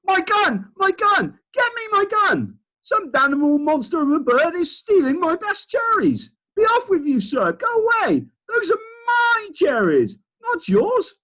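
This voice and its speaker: A man's voice: high-pitched, huge pitch swings, a little slow, breathy edge, voice pushed up, full character voice. A man voicing a pompous, short-tempered old duke who bellows and splutters. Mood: furious shouting. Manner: blustering, shouting.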